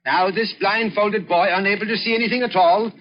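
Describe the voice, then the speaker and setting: An actor playing an irritable, embittered old scientist in a grandiose, condescending, emphatic 1930s radio style. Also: male voice, high voice, steady tone, fairly quick, natural voice. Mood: showy, commanding. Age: older. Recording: muffled 1930s radio recording.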